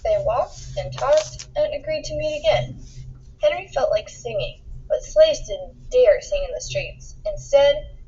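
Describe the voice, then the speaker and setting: A woman reading a children's picture book aloud in an expressive storytelling style, acting out the dialogue with emphasis. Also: high voice, unhurried, dull audio. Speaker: storybook reader.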